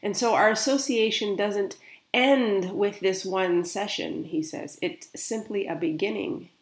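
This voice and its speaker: Gentle woman in an echoey room. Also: medium pitch. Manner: gentle, soft, unhurried relaying of quoted words. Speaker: spiritual medium. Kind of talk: channeled guided meditation.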